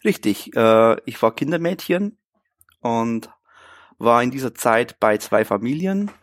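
Relaxed Bavarian man in his thirties. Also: medium pitch, measured pace. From Bavaria, Germany. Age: 30s. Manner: relaxed and chatty, with frequent filler sounds. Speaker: interview guest. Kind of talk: casual conversational interview.

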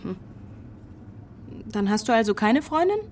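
A woman's voice: a little high, lively intonation, unhurried, a little mellow, a little hiss or hum. An actress playing a probing, concerned young woman in a radio drama, with a direct, questioning manner. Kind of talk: naturalistic radio drama acting.

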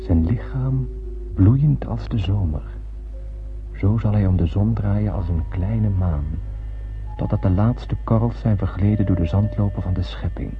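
An actor playing an astronaut who narrates a solemn space tale in poetic, measured radio play style. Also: male voice, lowish voice, music in the background, lightly acted. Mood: reflective. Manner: poetic, measured literary storytelling. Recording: muffled phone-like old radio recording, noisy.

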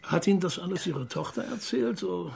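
An actor playing a polite, persistent younger investigative journalist, asking measured questions in a radio drama. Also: male voice, high-pitched, animated, vocal fry, a little mellow, soft background music, exaggerated voice. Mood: probing, polite. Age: young adult.

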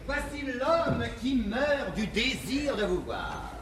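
An actor playing a vain, flattering salon poet in a classical French verse stage comedy, with a florid, obsequious manner. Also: male voice, very high-pitched, slow, full character voice. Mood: showing off. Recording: echoey 1950s theatre recording, faint noise.

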